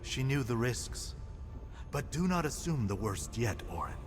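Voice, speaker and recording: Casting: knowing fantasy game advisor, mid-range, very animated intonation, deliberate and theatrical. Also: male voice, medium pitch. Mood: measured, grave. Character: measured, knowing advisor of an ancient made race. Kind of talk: fantasy video game acting. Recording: long reverb, loud music. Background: game music.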